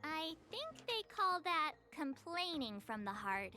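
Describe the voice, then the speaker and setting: Cheeky bright young girl. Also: sits high, sing-song, slow pace, soft background music, heavily acted. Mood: teasing. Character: cheeky, upbeat young woman. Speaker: game character.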